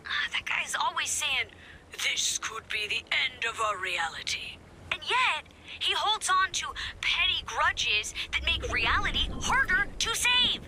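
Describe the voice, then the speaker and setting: A flippant female rebel from a video game, very bright and sharp in tone. She swings animatedly through chatty, sarcastic asides and impatient orders, with faint noise underneath. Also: fairly high pitch, animated, unhurried, exaggerated voice, low-quality recording. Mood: exasperated, wry. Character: flippant, impatient female resistance member scheming behind her leader's back.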